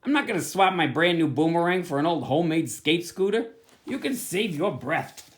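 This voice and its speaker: A man's voice: a little high, expressive, normal speed, natural voice. A man voicing a blunt, skeptical young boy who questions every claim, in a storybook reading. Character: skeptical boy, wary of tricks.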